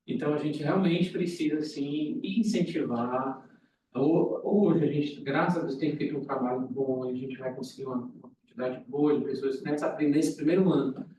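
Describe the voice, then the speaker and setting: A man's voice: a little high, moderate pace, warm tone. A man with a Brazilian accent urging colleagues at a meeting, insistent and repeating himself for emphasis. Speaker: meeting speaker. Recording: echoey room, distant mic, faint noise.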